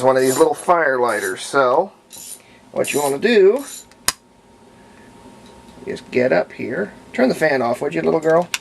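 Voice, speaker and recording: Male hobbyist demonstrator, average pitch, notable vocal fry, unhurried homespun delivery, thinking aloud on the job. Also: poor audio. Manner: folksy, unhurried, thinking aloud while working. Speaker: radio repair hobbyist demonstrating. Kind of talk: casual hands-on tutorial narration. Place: indoors at home, hands-on at workbench. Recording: faint background noise, home workshop.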